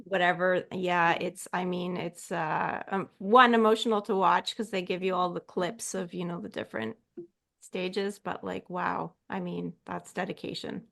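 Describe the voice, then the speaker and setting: A woman's voice: fairly low pitch, steady tone, measured pace, vocal fry, a bit bright. A woman co-hosting a podcast in casual chat, often hesitating and letting thoughts trail off. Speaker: podcast co-host.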